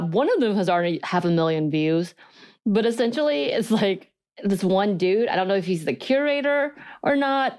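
Woman, podcast co-host, Korean as first language, slightly low voice, giggly, playful. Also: clear, resonant tone. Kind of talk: casual podcast chat.

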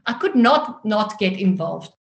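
A woman's voice: medium pitch, lively intonation, a little slow, creaky, highs cut off. A woman in her forties with a South African Afrikaans accent, a native Afrikaans speaker, telling her own story expressively as an interview guest. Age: 40s. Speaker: guest author.

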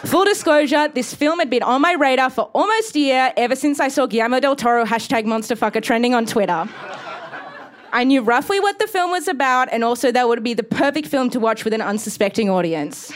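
A woman reads her own comic story as live storytelling. Her voice sits on the high side, and she is wry and profane, dropping deadpan asides as she goes. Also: a little fast. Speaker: comic artist reading own story to an audience.

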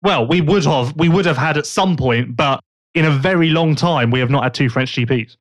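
A man's voice: on the high side, normal speed, no room sound. A man hosting a casual podcast, chatting and joking while he thinks aloud. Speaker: podcast host.

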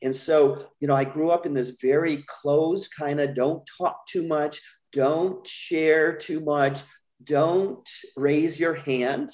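An American man, middle-aged, speaking as an interview guest in a measured, candid, reflective way. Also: a little high, fairly even intonation, darkish tone. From United States. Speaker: podcast guest. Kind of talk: conversational interview storytelling.

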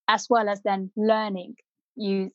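A woman's voice: medium pitch, level tone, a little slow, dry recording, dull audio. Reflective woman from India, Punjabi native speaker. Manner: reflective, many fillers and restarts. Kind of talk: personal story, unscripted.

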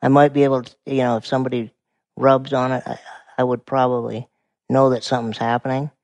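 A quiet-voiced man in his forties from Montana, speaking calmly and explaining things as a guest in a conversation. His voice has a gravelly edge. The sound is dry and close. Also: mid-range voice, fairly quick, dry recording. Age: 40s. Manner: calm, explanatory. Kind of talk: casual conversation.

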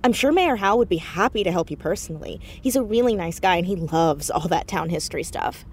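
A woman's voice: lowish voice, animated, brisk, a little hiss or hum. A woman acting a confident, precocious fourteen-year-old American girl detective in an audio drama, earnest and articulate. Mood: matter-of-fact. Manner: earnest, articulate, rattles off deductions in long sentences.

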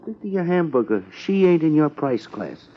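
A man's voice: a little high, very animated, normal speed. An actor playing a streetwise, grumbling lunch-wagon counterman with slangy wisecracks in a 1950s radio drama. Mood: grumbling, awed. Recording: dull, noisy vintage radio recording.

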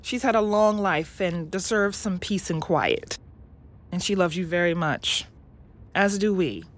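A patient mom in an audio drama, gentle n thoughtful, slow talking, lots of up and down. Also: female voice, fairly low pitch, expressive. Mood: thoughtful, hesitant. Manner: gentle, thoughtful, hesitant explaining. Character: loving, patient academic mother of young son.

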